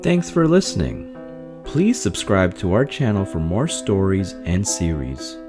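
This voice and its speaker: A male podcast host delivers a scripted outro in a deep voice. He takes his time, with lively intonation, over prominent plucked guitar music. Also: unhurried. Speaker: podcast host giving sign-off.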